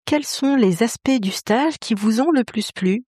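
A woman with a fairly high voice asks prepared interview questions clearly and formally. The sound is dry and close to the mic. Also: a little slow, darkish tone, no echo.

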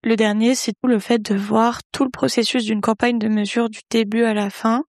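A young woman from the Paris region, an engineering intern, presenting herself and her internship in a measured, orderly way. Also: on the high side, little pitch movement, dry recording, clean studio sound. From Paris region, France. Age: young adult. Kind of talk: scripted self-presentation.

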